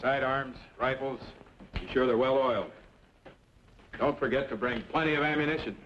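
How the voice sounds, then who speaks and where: An actor playing a commanding leader of armed riders in a western radio drama, giving clipped, authoritative orders. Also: male voice, mid-range voice, normal speed, natural voice. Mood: firm, commanding. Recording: muffled, noisy old radio drama recording.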